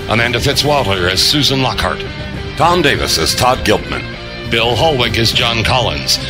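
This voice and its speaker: A man working as an announcer, reading scripted end credits. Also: medium pitch. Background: music.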